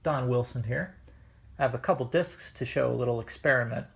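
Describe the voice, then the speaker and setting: A man hosting a casual hobby demonstration in his living room, explaining things in a measured, hesitant way. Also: medium pitch, gravelly, husky. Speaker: host, record hobbyist. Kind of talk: casual demonstration of vintage records. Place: indoors, living room at home.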